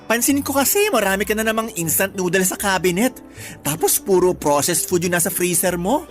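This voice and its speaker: An actress playing a blunt, protective big-sister friend in a Tagalog radio drama, teasing in slangy talk with a Filipino accent. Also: female voice, mid-range voice, animated, fairly quick, lightly acted. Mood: bossy, sassy. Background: background music.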